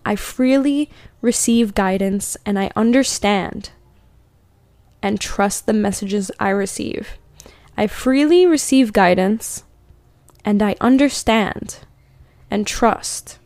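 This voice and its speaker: A young woman chats her way through a card reading, full of fillers and loose asides, dropping into a slow, deliberate cadence for affirmations. Her voice sits at an ordinary middle pitch. The sound is close and dry, with no room around it. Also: darkish tone, dry recording. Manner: rambling, filler-heavy, laughs often, slows down for affirmations. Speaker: podcast host doing card readings. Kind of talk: casual spiritual chat with tarot-style readings.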